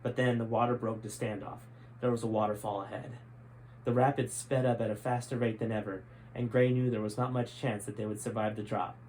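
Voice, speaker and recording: A man's voice: fairly low pitch, little pitch movement, fairly quick, darkish tone. A man narrating a story in an enthusiastic, dramatic storyteller style as he hosts a reading of his book. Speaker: host reading a chapter of their own book. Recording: clearly noisy background ambience.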